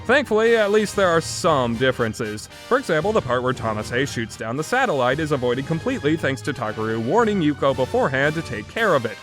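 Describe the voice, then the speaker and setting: Blunt, animated male game reviewer. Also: medium pitch, a little fast, hardly any pauses, soft background music. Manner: blunt, sarcastic, emphatic. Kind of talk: opinionated review commentary.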